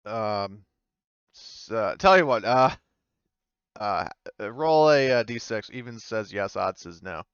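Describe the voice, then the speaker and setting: A man narrating casually and haltingly as game master, improvising in an informal, easygoing way. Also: fairly low pitch, lively intonation, a bit bright, clean tone, dry recording, lo-fi sound.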